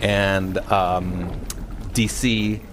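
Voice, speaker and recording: A man being interviewed as a game developer, explaining things casually in a halting, circling way. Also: sits low, level tone, background noise.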